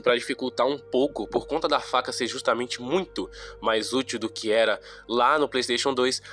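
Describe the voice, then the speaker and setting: A young man with a Brazilian accent talks through a game review in a loose, slangy, rambling way. His voice sits at an ordinary middle pitch and sounds nasal and congested from a cold. Faint music plays quietly behind him. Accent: Brazilian Portuguese. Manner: slangy, chatty, rambling asides. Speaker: gaming YouTuber. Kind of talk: casual game review monologue.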